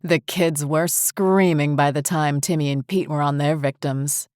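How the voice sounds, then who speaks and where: A woman narrating a scripted horror story in a measured, deliberate way. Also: deep voice, fairly even intonation, darkish tone, clear, resonant tone, dry recording, clean studio sound.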